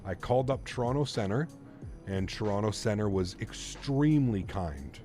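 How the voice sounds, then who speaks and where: Relaxed low-voiced man chatting on stream. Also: measured pace, soft background music. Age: 30s. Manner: relaxed, chatty, thinks aloud with fillers. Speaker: flight sim streamer. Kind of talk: casual live-stream chat reading viewer comments.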